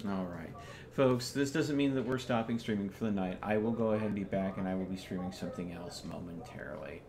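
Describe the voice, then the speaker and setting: A man's voice: deep voice, brisk, crowd noise. A man hosting a live gaming stream, chatting casually as he plays. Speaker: video game streamer, host. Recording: clearly noisy home stream recording.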